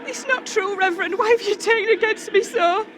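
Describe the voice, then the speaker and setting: A woman's voice: extremely high pitch, fairly quick. A woman playing an earnest accused Puritan woman in a video game, pleading in formal, archaic speech. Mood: distressed. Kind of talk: dramatic video game cutscene acting.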